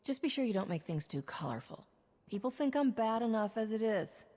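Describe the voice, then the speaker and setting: Wry, cocky smuggler. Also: female voice, on the high side, big pitch swings, a little fast, dark tone. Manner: wry, casual. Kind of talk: video game voice acting.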